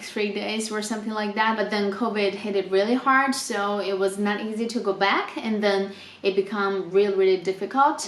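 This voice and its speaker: A woman's voice: mid-range voice, roomy sound. A woman in her twenties from China, a native Chinese speaker, casually answering viewer questions in an unscripted travel vlog. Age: 20s. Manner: chatty, reads questions aloud then answers with laughs. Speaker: travel vlogger.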